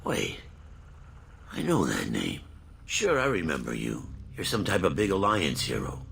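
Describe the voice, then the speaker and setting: An actor playing a bitter, distrustful colony mechanic in a video game, blunt and agitated, with a gravelly voice. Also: male voice, deep voice, huge pitch swings, measured pace, a bit bright, heavily acted. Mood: bitter scorn.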